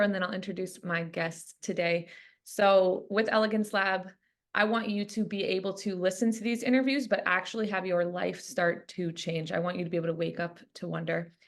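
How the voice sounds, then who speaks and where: A woman's voice: medium pitch, fairly bright tone. A woman hosting an interview podcast, earnest and encouraging, asking long open questions. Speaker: podcast host, coach.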